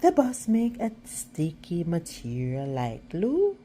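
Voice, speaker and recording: A woman reading a children's picture book aloud as a storyteller, expressive and now and then halting over words. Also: lowish voice, a little hiss or hum.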